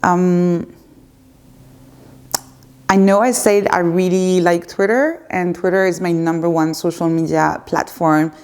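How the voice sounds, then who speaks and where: A woman's voice: on the low side, fairly even intonation, unhurried, long pauses, darkish tone, clean tone. A woman in her thirties with a French accent, answering viewer questions in a candid, unscripted chat to camera at home, with some hesitations. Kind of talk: unscripted casual Q&A. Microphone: facing a camera.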